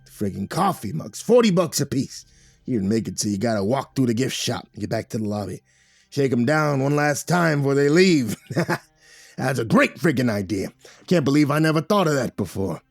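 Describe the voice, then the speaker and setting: A man performs a gruff crime boss in an audiobook. The speech is slurred and growling, crude and irritable, with a schemer's swagger. The recording is dry and close. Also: mid-range voice, no echo, exaggerated voice. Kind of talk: audiobook character voice.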